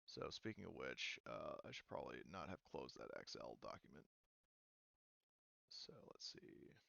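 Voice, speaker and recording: A man running a tabletop game, explaining rules in a casual, halting, hedging way. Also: rumbling low, big pitch swings, gravelly, bright, forceful tone, no echo, lo-fi sound. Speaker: game master.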